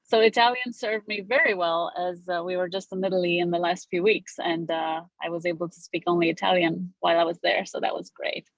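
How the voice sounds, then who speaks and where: A middle-aged woman from Romania talks in a relaxed, gracious way, her voice sitting on the low side. The sound is dry, close and a little dull, like a modest online call. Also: fairly quick, hardly any pauses, no echo. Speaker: realtor guest. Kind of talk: casual interview conversation.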